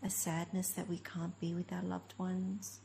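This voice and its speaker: A woman leading a guided meditation as a teacher, speaking gently in short, unhurried phrases with long pauses. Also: lowish voice, completely flat delivery, vocal fry, a little hiss or hum. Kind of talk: guided meditation, slow spoken instruction.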